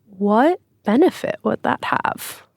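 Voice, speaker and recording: A woman's voice: a little high, animated, slow pace, gravelly, no room sound. Female co-host, curious, questioning, dry studio mic. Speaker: podcast producer and co-host. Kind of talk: podcast conversation.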